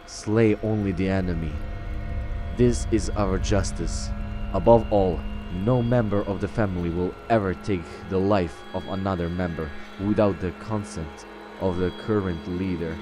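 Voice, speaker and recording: A man with a fairly low voice hosts a game roleplay. He reads text aloud in a halting way, tripping over words now and then. Game music plays clearly behind him. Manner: halting, stumbling over words when reading. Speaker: let's-play roleplay host. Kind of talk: reading game text aloud and role-playing dialogue.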